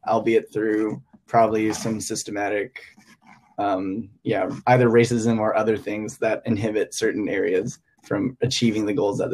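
A man's voice: lowish voice, darkish tone, a little hiss or hum, poor audio. Hesitant young man from Hong Kong. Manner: hesitant, thinking aloud with many fillers. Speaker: podcast guest, English teacher. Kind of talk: casual podcast chat.

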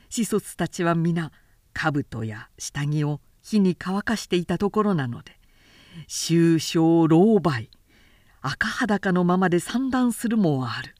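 A woman narrating a literary audiobook dramatically, with deliberate, expressive delivery and precise diction. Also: lowish voice, no echo, clean studio sound.

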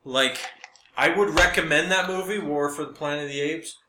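A man chatting casually as a podcast co-host, telling stories in an animated way and acting out bits of dialogue. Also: fairly high pitch, normal speed, noisy background. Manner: animated storyteller, quotes people in dialogue. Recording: roomy, echoing room sound.

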